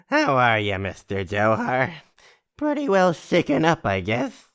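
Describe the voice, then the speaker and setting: A man plays a hard-drinking, self-pitying brother in an audio drama. He drawls flippantly in a clear, smooth voice that swings up and down in lively fashion. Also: medium pitch, measured pace. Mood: chatty.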